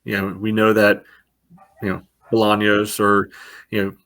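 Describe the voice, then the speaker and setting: A man's voice: on the low side, completely flat delivery, a little slow, fairly bright tone. A man giving casual sports analysis as a podcast panelist, in loose phrasing padded with filler phrases. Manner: loose phrasing with frequent "you know".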